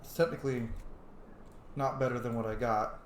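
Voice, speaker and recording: A man plays a video game and talks through it casually, half to himself. His mid-pitched voice sits in an echoey room with faint background noise. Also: steady tone, moderate pace. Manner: thinks aloud, mutters choices to self. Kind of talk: casual let's-play commentary.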